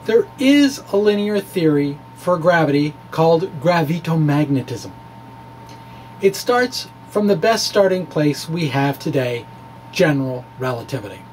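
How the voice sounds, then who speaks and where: High-pitched man reading a physics blog aloud. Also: lively intonation, darkish tone, slight background noise, poor audio. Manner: informal, folksy, halting explanations. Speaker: amateur physicist presenter. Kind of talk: dramatic reading of a physics blog, conversational asides.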